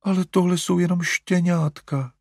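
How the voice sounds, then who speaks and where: A man's voice: a little high, very animated, slightly rough, no echo, clean studio sound, voice pushed up, full character voice. A man voicing a fussy, fretful middle-aged mother who coaxes and hesitates. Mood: flustered coaxing. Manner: flustered, coaxing, hesitant.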